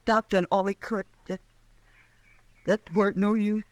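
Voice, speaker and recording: An actress playing a grieving, plainspoken frontier widow with a rural American accent in an old radio drama. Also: female voice, medium pitch, fairly even intonation, a little slow, darkish tone, close to an everyday voice. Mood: subdued grief.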